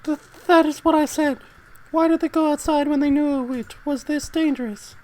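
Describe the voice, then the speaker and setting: A proper, anxious lady played by a woman in an amateur radio-play style. Her voice is very high, and her wording is formal and precise. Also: fairly even intonation, moderate pace, a little mellow, lightly acted. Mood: worried, reproachful. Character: anxious, proper woman, impatient yet sympathetic. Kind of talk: amateur dramatic reading.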